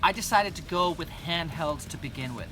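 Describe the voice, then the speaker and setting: Higher-pitched American man presenting gear. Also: expressive, normal speed, recorded from a distance, over music, rough recording. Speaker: presenter of an off-road gear channel. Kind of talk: scripted gear review narration.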